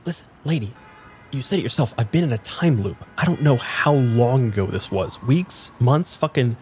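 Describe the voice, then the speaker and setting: A man's voice: medium pitch, animated, normal speed, darkish tone, a little hiss or hum, close to an everyday voice. A man playing a cynical, exasperated young diner server who swears freely and talks bluntly in an improvised audio drama. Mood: weary, sardonic. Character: cynical, foul-mouthed young diner server hustling customers.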